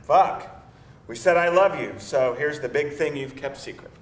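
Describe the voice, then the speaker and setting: Easygoing, blunt boyfriend played by an actor, mid-pitched casual banter, off-mic in an echoey room. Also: male voice, moderate pace, distant mic, a little hiss or hum, lightly acted. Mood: uneasy. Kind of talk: conversational screenplay table read. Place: live table read in a roomy indoor space.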